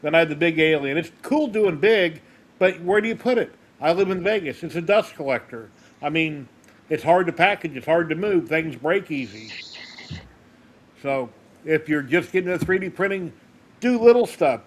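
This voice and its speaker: A man's voice: on the high side, lively intonation, moderate pace. A man hosting a casual maker podcast, chatting easily and giving advice from experience over a video call. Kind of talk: casual chat.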